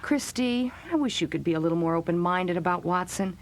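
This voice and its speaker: A woman's voice: lowish voice, a little fast, natural voice. A woman playing a patient, busy mother in a children's audio drama. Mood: patient, coaxing.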